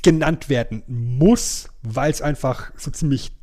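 A man's voice: medium pitch, takes it slowly, mellow. A middle-aged man chatting casually as a podcast co-host. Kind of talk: casual chat, ranking old cartoons.

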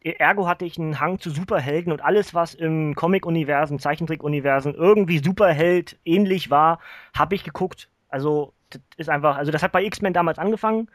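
Man, thirties, fairly high voice, dry close sound, rambling halting casual podcast talk. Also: no echo. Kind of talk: casual chat.

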